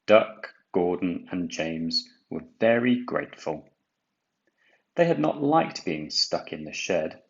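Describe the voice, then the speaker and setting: A deep-voiced man narrates a children's storybook at a slow, deliberate pace. He leans on key words for drama. The recording sounds a little dull. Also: expressive, vocal fry. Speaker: storybook reader. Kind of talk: expressive children's read-aloud narration.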